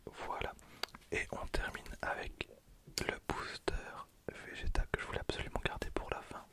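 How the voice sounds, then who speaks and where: Young man in a full ASMR whisper. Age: 20s. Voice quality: breathy, voiceless whisper. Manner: hushed, often repeating phrases slowly. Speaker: ASMR video creator and host. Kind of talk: ASMR whispering, card unboxing commentary. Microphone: handheld stereo recorder used close up.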